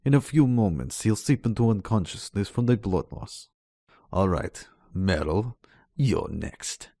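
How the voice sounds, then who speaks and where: A man's voice: lowish voice, expressive, unhurried, dark tone, no room sound, studio quality. A man acting in a radio drama as a menacing Russian assassin, taunting and theatrical in delivery. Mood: gloating. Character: menacing, gloating Russian male knife-wielding assassin.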